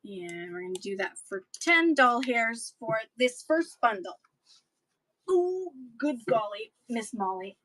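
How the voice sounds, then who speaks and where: A woman selling books in a chatty live-stream auction, her voice getting hoarse, with playful sing-song asides. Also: on the high side, big pitch swings, slow, a bit bright, slight background noise, poor audio.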